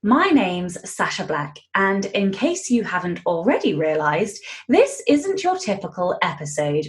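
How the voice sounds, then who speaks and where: A woman hosts a chatty podcast interview. Her voice sits a little low but swings widely in pitch, giving very animated speech. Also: a little slow. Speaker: guest podcast host.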